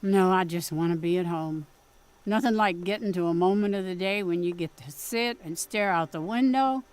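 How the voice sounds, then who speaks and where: A woman's voice: on the low side, moderate pace, darkish tone, clean tone, close to an everyday voice, low-quality recording. An actress with a Midwestern accent playing a pushy, folksy small-town mother in an audio drama. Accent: Midwestern American. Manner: folksy, scolding, plain-spoken. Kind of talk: period radio drama acting.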